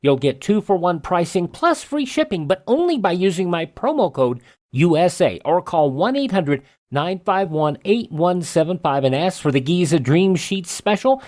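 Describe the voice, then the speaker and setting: A man hosting a radio program, talking in an announcer-like way as he reads out items and pitches. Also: sing-song, moderate pace, a little mellow. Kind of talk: radio hosting and live-read ads.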